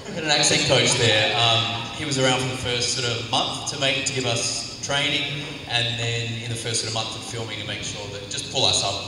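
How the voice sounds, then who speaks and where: A man's voice: mid-range voice, brisk, few pauses, sharp tone, a little hiss or hum. A man on a convention panel, talking casually with a rambling, self-correcting manner through a handheld mic. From New Zealand or Australia. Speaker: panel guest actor. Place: large convention hall panel, indoors. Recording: echoey hall, far from the mic, crowd murmur.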